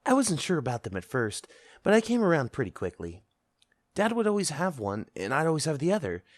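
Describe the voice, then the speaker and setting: A man reads a scripted story in the first person as a child. His voice is medium in pitch with lively, swinging intonation, and his manner is earnest and boyish. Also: dry recording. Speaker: narrator.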